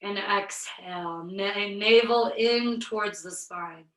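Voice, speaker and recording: A woman yoga instructor with a slight head cold, guiding a virtual class through breathing in a slow, soothing way. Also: medium pitch, a bit bright, echoey room, recorded from a distance, poor audio.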